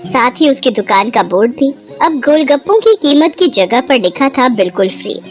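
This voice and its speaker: A woman narrating a scripted moral story in an expressive storytelling manner. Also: high voice, moderate pace, faint music behind.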